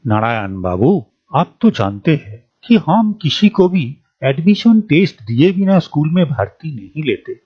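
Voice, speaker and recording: A man playing a proud, formal school principal in an animated story, speaking emphatically. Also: on the high side, a little slow, breathy edge, no room sound, full character voice. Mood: firm, formal. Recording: dull, dry lo-fi studio track.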